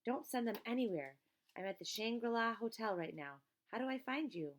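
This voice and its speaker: A woman's voice: on the high side, animated, moderate pace, voice pushed up, natural voice. A woman voicing a stern, impatient grown woman in a children's audiobook, curt and demanding. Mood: urgent, snappish.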